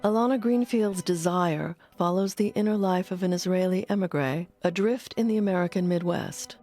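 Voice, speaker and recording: A woman reading scripted documentary narration in a measured, informative manner. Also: lowish voice, dark tone. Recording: faint music bed under narration.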